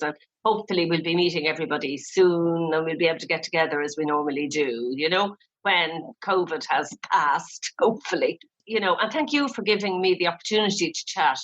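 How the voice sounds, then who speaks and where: A woman's voice: on the low side, a bit bright, highs cut off. An Irish-accented woman chatting casually as an interview guest, in a chatty, rambling manner. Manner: chatty, peppered with "you know". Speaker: guest, playwright.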